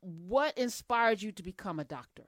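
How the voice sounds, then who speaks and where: Energetic woman talk show host, dry sound. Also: medium pitch, normal speed, no room sound. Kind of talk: interview hosting.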